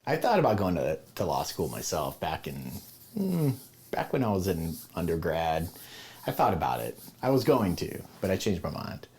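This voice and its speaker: A man from Southern California hosting a casual interview, laid back and quick to agree and laugh. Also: deep voice, wildly swooping pitch, a little mellow. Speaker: podcast host.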